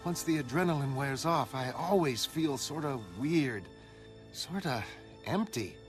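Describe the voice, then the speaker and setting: A man's voice: on the high side, animated, unhurried. A man voicing a tough, disillusioned young superheroine in a motion comic, with a casual, wry, weary manner. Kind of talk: motion comic character acting. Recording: music behind the voice.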